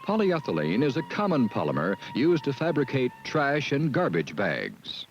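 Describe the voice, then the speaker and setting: A man giving measured, deliberate scripted narration for an educational training film. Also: medium pitch, wildly swooping pitch. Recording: dull lo-fi film soundtrack with music.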